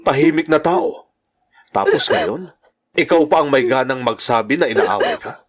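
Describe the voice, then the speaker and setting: A man's voice: on the high side, huge pitch swings, normal speed. An actor playing a stern, exasperated older father in an audio drama, lecturing reprovingly. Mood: stern, scolding. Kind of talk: Tagalog radio-style drama acting.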